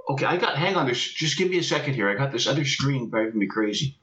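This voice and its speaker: A man's voice: medium pitch, lively intonation, fast, a bit bright. A man calling into a show over a video call, chatting casually and fumbling with the buttons. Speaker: caller. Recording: roomy, dull video call audio.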